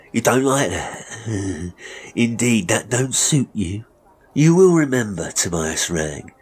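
A man's voice: fairly low pitch, breathy edge. A man voicing a menacing, mocking murderous barber in a Victorian melodrama story. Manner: mocking, threatening, chuckling, oily when polite. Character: menacing, sneering murderous male barber, gloating villain.

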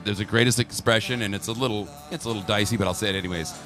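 A man co-hosting a talk show, bantering and telling jokes in a loose, animated way. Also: fairly low pitch, very fast, faint music behind. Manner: joking, animated storyteller. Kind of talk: casual banter.

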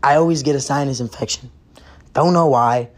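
A hoarse young man, hosting a casual sports podcast and ranting bluntly with lots of swearing while losing his voice. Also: mid-range voice, a little fast. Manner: profane, blunt, fired up.

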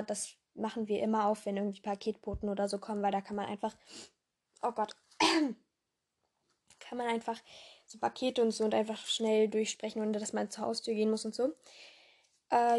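A young woman with a hoarse voice hosting a casual podcast in a rambling way, full of fillers and self-corrections, jumping between voices. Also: fairly high pitch, a little mellow. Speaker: podcast host.

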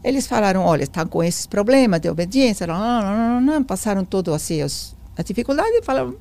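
A woman's voice: mid-range voice, quick pace, vocal fry. A woman in her sixties or older who speaks Portuguese with a foreign accent, telling her story as an interview guest and acting out the dialogue she quotes. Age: 60s or older. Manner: animated storyteller, acts out quoted dialogue, repeats phrases.

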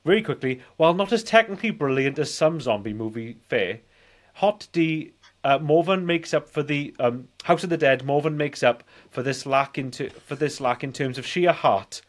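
A man's voice: on the high side, expressive, moderate pace. A man in his forties rambling through casual film banter as a podcast co-host. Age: 40s.

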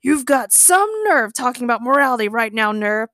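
A woman's voice: on the high side, animated, moderate pace, fairly bright tone, dry recording, clean studio sound. Woman as a cocky, bragging hero man. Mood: heroic. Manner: bragging, confident. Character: cocky heroic man Ray, bragging wrestler.

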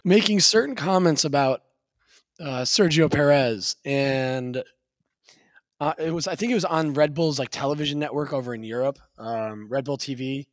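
Male podcast co-host, a touch raspy, loose and laughing, mid pitch, dry booth sound. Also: moderate pace, dry recording. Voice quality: a little raspy. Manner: loose, laughing storyteller with many restarts. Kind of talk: casual chat.

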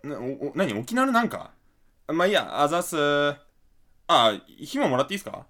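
A man acting as a flippant, trend-obsessed young guy in a radio drama, excitable and full of casual slang. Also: on the high side, fairly quick. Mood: excitable, cheeky. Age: young adult.

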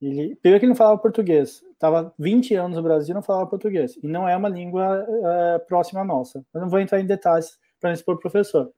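A man's voice: sits high, quick pace, hardly any pauses, mellow. A middle-aged man from Brazil, a mathematics professor, talking reflectively in a casual conversation with many restarts.

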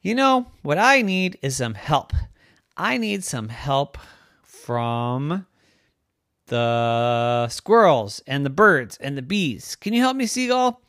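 Storyteller voicing an emotional, lonely wind, very animated. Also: mid-range voice, slow, no room sound, clean studio sound, exaggerated voice. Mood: pleading. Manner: earnest, emotional, swinging into outbursts. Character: Harry, lonely sad wind growing frustrated and pleading.

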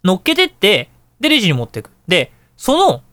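A man hosting a casual radio talk show, telling anecdotes in an animated way and acting out dialogue and reactions. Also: high-pitched, a little fast, dry recording.

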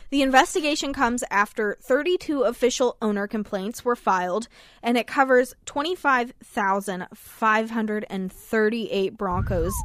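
A woman's voice: on the high side, a little slow, no room sound, studio quality. A woman with a scratchy voice, co-hosting a radio talk show in a casual, chatty style.

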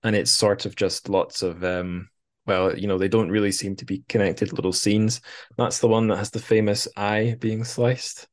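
Man, deep low voice, podcast host, informal conversational interviewing, tentative musing delivery. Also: little pitch movement, moderate pace. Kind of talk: casual interview chat.

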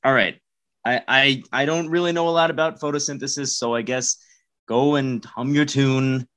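Deadpan, reluctant male podcast host. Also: mid-range voice, moderate pace. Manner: hesitant, dry, reluctant. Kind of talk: deadpan comic straight man in podcast sketch.

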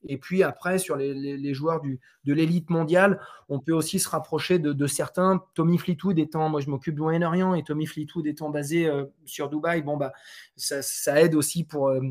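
A man's voice: on the high side, steady tone, normal speed, darkish tone. A French man giving conversational interview answers about golf data, hesitant, with many fillers and restarts. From France. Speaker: Trackman representative on golf tours.

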